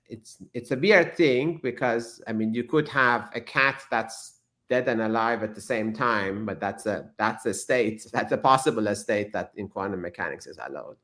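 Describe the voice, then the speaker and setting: A man whose first language is Persian, a physicist guest explaining ideas in an interview, thoughtful and halting with many restarts. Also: on the low side, bright, forceful tone.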